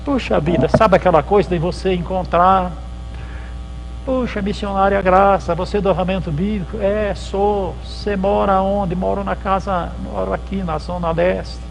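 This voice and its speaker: A pastor gives a history lecture in a loose, storytelling way, slipping into little acted exchanges between people. His voice sits a bit high for a man and has a gravelly edge. A faint mains hum runs under the recording. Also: fairly even intonation, moderate pace, low-quality recording. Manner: conversational storytelling, acts out dialogues. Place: lecture hall at a theological symposium.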